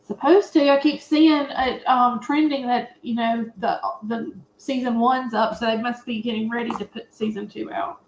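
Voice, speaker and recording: A woman's voice: a little high, fairly even intonation, normal speed, few pauses. A woman chatting casually and emphatically about films and series as an opinionated panelist. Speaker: panelist on a movie review show. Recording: roomy, echoey sound.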